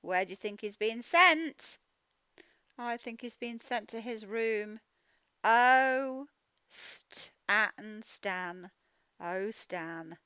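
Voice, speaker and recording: Bright, animated high-voiced woman teaching children. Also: extremely slow, smooth, dry recording. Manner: animated, instructional, emphatic, sounds out words. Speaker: teacher reading a children's phonics story. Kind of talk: children's read-aloud lesson, explaining directly to young learners.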